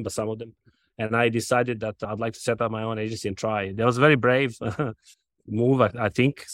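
A middle-aged man from Serbia with a Serbian accent, interviewed on a podcast as a soccer club's sporting director, talking haltingly with many restarts and fillers. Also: fairly low pitch, no echo. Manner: halting, repeats words, frequent fillers.

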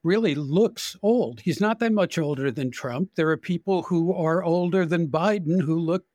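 An older man with an American accent giving opinionated, deliberate political commentary in conversation as a podcast guest. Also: fairly high pitch, darkish tone, no echo.

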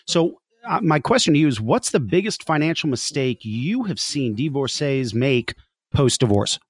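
Animated man with very lively intonation. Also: medium pitch, moderate pace, husky. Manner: joking, sarcastic. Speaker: podcast and radio co-host. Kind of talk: casual interview chat.

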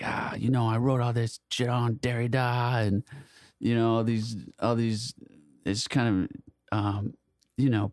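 A man's voice: mid-range voice, darkish tone, no echo, clean studio sound. A man co-hosting a casual literary discussion, searching for words, repeating phrases and swearing freely. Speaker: podcast co-host.

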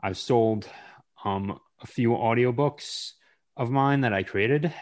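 A man hosting a podcast interview, speaking haltingly with many pauses and fillers. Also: medium pitch. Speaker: podcast host. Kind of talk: casual interview chat.

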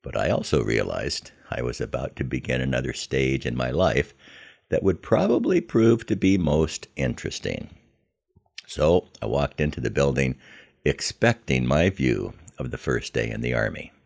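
An older American man telling a scripted first-person story in a measured, reflective manner. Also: rumbling low, big pitch swings, creaky, darkish tone. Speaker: podcast host telling his memoir.

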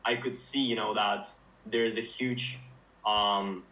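A young man from Ukraine, a startup founder, talking casually and thoughtfully with frequent pauses and fillers. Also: on the low side, steady tone, bright, forceful tone, distant mic, rough recording. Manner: hesitant, many fillers and restarts, thoughtful. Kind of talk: casual interview conversation.

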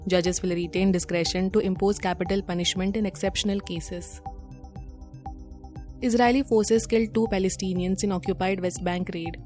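A woman's voice: medium pitch, warm tone. A woman with an Indian accent reading a scripted news bulletin as presenter.

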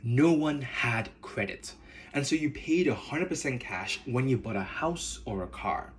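A man from Nigeria with a Nigerian accent, hosting a podcast in measured, earnest scripted narration. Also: mid-range voice, animated, a little hiss or hum. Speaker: podcast host.

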